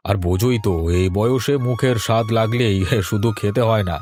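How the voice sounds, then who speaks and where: A man playing a proud older village patriarch, gruff yet caring, in an audio drama. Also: on the low side, fairly quick, a little mellow, natural voice. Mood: kindly. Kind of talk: Bengali audio story drama acting.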